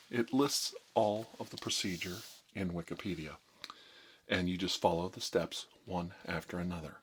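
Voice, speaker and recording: A man presenting a tongue-in-cheek ASMR tutorial as a mock doctor, speaking slowly and deliberately with a deadpan delivery. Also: low-pitched, a little hiss or hum. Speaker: ASMR video host.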